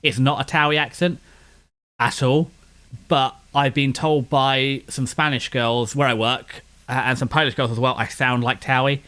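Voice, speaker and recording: A man's voice: mid-range voice, steady tone, normal speed, a bit bright, smooth. London guy rambling on, slight lisp, words kinda slur together, lots of restarts. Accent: London English. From West London, England. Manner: meandering with frequent restarts. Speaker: anime channel host. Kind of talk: casual rambling chat.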